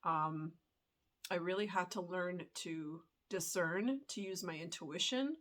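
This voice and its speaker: A young adult woman with a stuffed-up voice from a cold, talking earnestly to camera in a reflective vlog with many pauses and fillers. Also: fairly low pitch, fairly bright tone. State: congested from a cold. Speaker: spiritual YouTuber.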